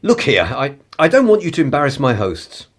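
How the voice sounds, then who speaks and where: Radio drama: male actor as a superior, indignant upper-class gentleman, slightly low and lively, roughened tone. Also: a little fast. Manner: articulate, pompous, superior. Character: indignant, haughty upper-class male political radical and spy. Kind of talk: radio play acting.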